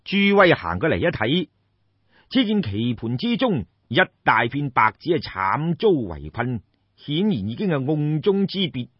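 Male Cantonese storyteller, theatrical, colloquial, unhurried, highly animated, dull lo-fi sound. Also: husky. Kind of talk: dramatic traditional Cantonese audiobook storytelling. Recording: dull, roomy lo-fi recording.

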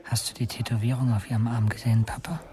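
A man's voice: medium pitch, little pitch movement, fast talker, dark tone, natural voice. A young man playing an earnest, attentive and dreamy teenage son in a radio drama. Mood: concerned, insistent. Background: music.

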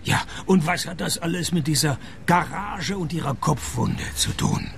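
A cynical, world-weary private detective with a gravelly, fairly high voice that swings lively up and down. He tosses off dry, sardonic wisecracks in a radio play, with faint music behind him. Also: moderate pace, a little hiss or hum.

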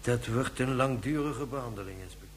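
A philosophical male doctor played by an actor muses in a mid-pitched voice. His delivery is deliberate radio-play dialogue, on a noisy recording with clicks. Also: close to an everyday voice. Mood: musing, calm. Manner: pondering, deliberate.

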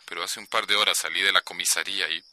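An actor playing a self-pitying, pleading husband with a Costa Rican accent in a radio soap opera. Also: male voice, sits low, fairly even intonation, quick pace, pushed and bright, dry recording.

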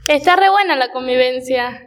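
A woman's voice: high voice, normal speed, noisy background, lightly acted. A woman playing a sceptical but jumpy schoolgirl in a radio play. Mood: casual.

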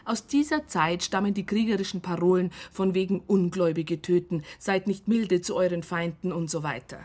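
A woman's voice: on the low side, moderate pace, a little mellow, no echo, close to an everyday voice. A woman playing an educated police psychologist in a radio crime drama, with a Bavarian accent and an earnest, wry, argumentative way of talking. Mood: earnest, challenging. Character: female police psychologist, educated, earnest, probing, wryly narrating.

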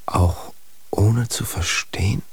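A troubled young son in a radio play, questioning his father in a deep, slow whisper. The voice is husky and gravelly, heard from a little off the mic. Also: no room sound, full character voice. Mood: doubting. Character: doubting young son of the inquisitor. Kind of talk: radio drama acting.

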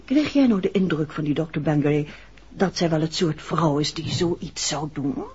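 An actress playing a curious, sharp-minded detective's wife, confiding village gossip in a 1960s radio drama. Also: female voice, sits low, normal speed, slightly rough. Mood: intrigued. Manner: animated, confiding, speculative. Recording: muffled old radio recording.

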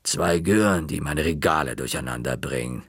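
A man voicing a huge, grim old woman librarian, speaking slowly and curtly in a grating voice. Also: extremely low pitch, slightly rough, no echo, clean studio sound, pitched below the performer's own voice, exaggerated voice. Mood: gruff. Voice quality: grating, rusty voice. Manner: slow, grumbling, curt.

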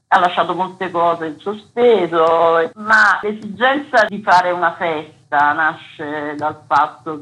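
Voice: medium pitch, a bit bright, poor audio. A radio interview guest, recalling things in a conversational style. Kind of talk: conversational recollection.

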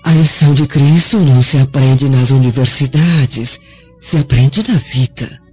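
An actress playing a gentle, devout servant woman in a radio drama, husky and solemnly consoling. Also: a bass voice, measured pace, exaggerated voice. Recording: dull lo-fi radio drama recording, music.

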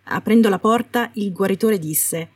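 A woman's voice: mid-range voice, moderate pace, darkish tone, husky. A woman reading a scripted story aloud as a dramatic narrator. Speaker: podfic narrator. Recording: roomy, distant microphone.

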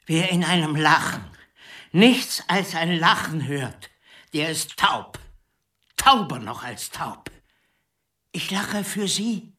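A man's voice: on the high side, expressive, slow pace, pauses often, pushed and bright, distant mic, heavily acted. An actor playing a stern, grieving male piano teacher in a literary radio drama, theatrical and emotionally intense. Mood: anguished, tender.

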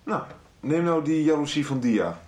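A man's voice: on the high side, animated, moderate pace, a little mellow, natural voice. An actor playing a cheeky, teasing grown son who pesters his mother, a young man in a Dutch radio soap. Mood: probing. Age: young adult. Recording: roomy, echoey sound.

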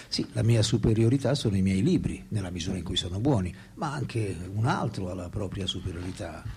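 A man's voice: low-pitched, animated, fairly quick, darkish tone, slight background noise. An actor in a radio play voicing a French philosopher and writer, ironic, reflective and self-deprecating, telling his thoughts theatrically. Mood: reflective, modest. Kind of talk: radio play dialogue and monologue.

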